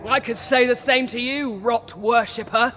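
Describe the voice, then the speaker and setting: An actress playing a proud, righteous holy warrior in a fantasy game, solemn, commanding and declamatory. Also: female voice, fairly high pitch, steady tone, moderate pace, fairly bright tone, in a large hall, a little hiss or hum, lightly acted. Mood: defiant. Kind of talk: dramatic fantasy game acting.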